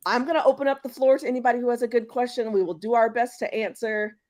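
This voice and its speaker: A woman's voice: on the high side, fairly even intonation, fast talker, a little mellow. A woman from Houston hosting a sports podcast, chatting casually with lots of fillers and restarts. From Houston, Texas. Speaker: podcast host.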